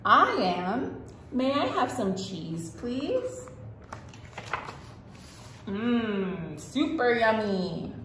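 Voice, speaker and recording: Woman putting on eager, hungry storybook animals, very animated. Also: fairly high pitch, very slow, long pauses, heavily acted. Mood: eager, polite. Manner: short, eager, exclaiming phrases. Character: hungry, eager picture-book animals asking for food. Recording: roomy echo with faint background noise.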